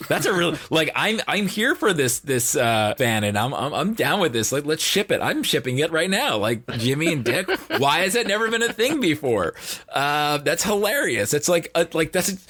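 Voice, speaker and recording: A man's voice: on the high side, fairly bright tone, husky. A man chatting casually as a podcast guest, rambling and saying "like" a lot. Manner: rambling, peppers speech with "like". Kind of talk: casual chat.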